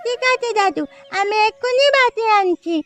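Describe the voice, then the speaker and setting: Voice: extremely high pitch, moderate pace, over music. An actor playing an eager, cheeky little village girl with a childish, inquisitive manner in a cartoon story. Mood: excited.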